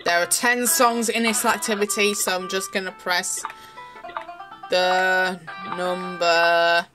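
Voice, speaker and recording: A woman's voice: on the low side, little pitch movement, slow pace. A woman presenting a casual product demonstration as a toy reviewer. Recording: electronic toy music behind the voice. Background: music from a children's toy laptop.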